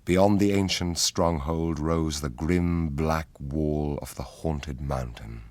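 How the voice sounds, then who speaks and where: A man with a husky voice, narrating an epic fantasy radio drama in a solemn, measured way. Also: a bass voice, darkish tone, no echo.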